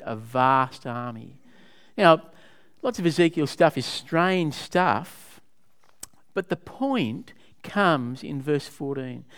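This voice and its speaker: A man teaching scripture to a small group, his voice smooth and clear and sitting a little high. He talks conversationally, stopping often to put questions to the room and explain what a passage means. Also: fairly bright tone. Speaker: Bible study leader. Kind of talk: interactive Bible teaching.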